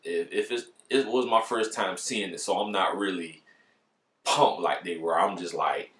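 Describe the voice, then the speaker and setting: A man with a deep voice reviews a movie in a casual vlog style. His talk is slangy and informal, with plenty of profanity. The sound is noticeably roomy and echoey. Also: measured pace, a bit bright.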